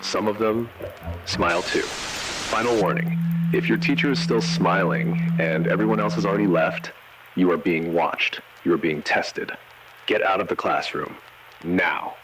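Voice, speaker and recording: Deep grave announcer guy man acting it, eerie horror warnings, bit of noise behind. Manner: measured, ominous, short solemn sentences. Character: grave male emergency broadcast announcer issuing eerie warnings. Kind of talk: analog horror scripted narration.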